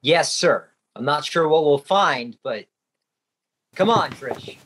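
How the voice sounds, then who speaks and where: A man's voice: a little high, expressive, slow, noisy background. A man playing an earnest, curious American government agent in a radio drama. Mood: curious, puzzled.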